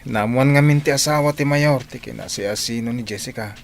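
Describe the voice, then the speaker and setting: An actor playing a secretive, matter-of-fact go-between in a radio drama, with a Filipino accent. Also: male voice, medium pitch, brisk, gravelly, natural voice. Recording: faint noise behind voice.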